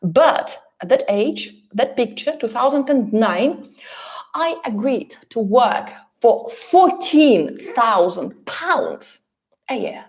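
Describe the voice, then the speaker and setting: A woman's voice: fairly high pitch, sing-song, slow pace. A woman from Russia with a Russian accent gives a motivational coaching talk, speaking emphatically and directly to her listeners with frequent repetition for stress. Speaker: relocation coach and course host.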